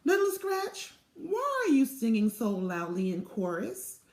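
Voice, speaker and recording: Woman as a cross, high-voiced school principal. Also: huge pitch swings, a little slow, full character voice. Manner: cross, admonishing. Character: stern, owlish school principal questioning a child. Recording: echoey room sound.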